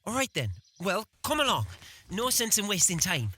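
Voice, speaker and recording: An actress playing a determined, protective older sister, a young villager speaking earnestly in a fantasy audio drama. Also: female voice, medium pitch, moderate pace, a little hiss or hum. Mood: reassuring. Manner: earnest, expressive.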